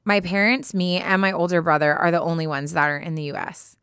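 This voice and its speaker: A woman's voice: on the low side, a little fast, a bit bright, clear, resonant tone, no room sound, studio quality. An American woman co-hosting a podcast, speaking in an informal, slangy, casual way. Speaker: podcast co-host. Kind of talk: casual banter and reading a listener letter aloud. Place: podcast studio.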